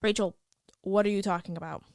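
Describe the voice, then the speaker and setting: Skeptical teenage student, voiced by a woman, blunt, dismissive, low-key audio drama delivery, close dry sound. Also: steady tone, a little fast, no room sound, close to an everyday voice. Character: dismissive, puzzled teenage student in a group chat. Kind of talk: acted reading of chat messages.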